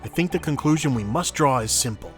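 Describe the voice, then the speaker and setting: Man as confident game lawyer, forceful, loud, declaiming, music behind. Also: medium pitch, animated, a little fast, lightly acted. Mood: commanding. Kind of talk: video game fan voice acting.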